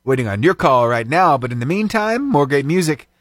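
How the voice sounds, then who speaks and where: Male radio morning-show host, lively pitch, dry close studio sound, fervent then chatty. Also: fairly quick, no echo. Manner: animated, fervent praying then chatty and upbeat. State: slightly itchy throat, voice lower than usual. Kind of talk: prayer and casual radio chat. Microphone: studio microphone.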